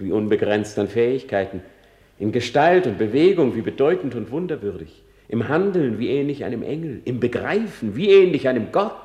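An actor playing a tormented, self-loathing prince in a classical radio drama, declaiming in a way that swings between whispers and outcries. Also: male voice, medium pitch, darkish tone, close to an everyday voice. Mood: weary, disdainful. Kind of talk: classical stage-style Shakespeare radio drama monologue. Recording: roomy, echoing radio drama studio.

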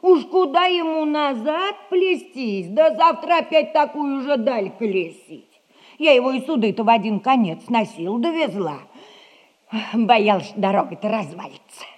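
Husky, fussy old gossip. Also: female voice, a little high, lively intonation, moderate pace. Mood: fussy, explaining. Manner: sighing, folksy chatter. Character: fussy, chatty old servant woman, gossipy neighbour. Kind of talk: classic Russian stage comedy acting, audio play.

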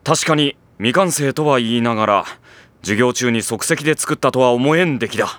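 A man playing a sardonic, put-upon high school boy who narrates and grumbles in an anime audio drama. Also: moderate pace, dry recording, lightly acted. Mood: wry, resigned. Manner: wry, exasperated, deadpan asides.

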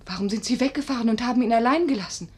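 A woman's voice: on the high side, racing, a little mellow, natural voice. A woman playing a dignified young wife of twenty-five in a 1950s radio play, speaking with controlled, formal reproach. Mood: cold, restrained. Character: dignified 25-year-old wife of a dying man.

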